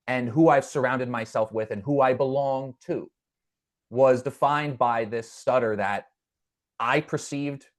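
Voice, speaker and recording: A man guest in an interview, speaking earnestly and reflectively, with a stutter that brings repeated words and restarts. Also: medium pitch. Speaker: podcast guest. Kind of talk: conversational interview.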